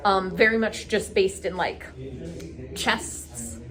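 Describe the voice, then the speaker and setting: A woman's voice: mid-range voice, very animated, slow pace, music in the background. A woman chatting enthusiastically and casually as the host of a group recap vlog.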